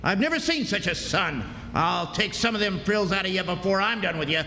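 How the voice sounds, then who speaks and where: A man's voice: sits high, lively intonation, fairly quick, pushed and bright, exaggerated voice. An actor playing a sneering, greedy old backwoods father who scolds in a folksy rustic drawl, in live radio drama style. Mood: mocking. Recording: echoey hall, live stage, hissy background.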